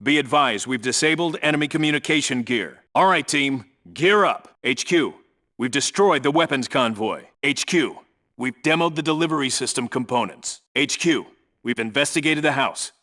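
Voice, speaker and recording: A man's voice: mid-range voice, expressive, normal speed, sharp tone. A man playing a no-nonsense special forces team leader in a video game, reporting in a clipped, businesslike, commanding way. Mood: urgent, commanding. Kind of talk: scripted radio call-outs, video game military acting.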